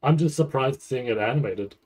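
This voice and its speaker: A man's voice: mid-range voice, a little fast, a little mellow, rough recording. A man streaming casual live reaction commentary, thinking aloud in a rambling, freely swearing way. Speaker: streamer reacting to an anime trailer.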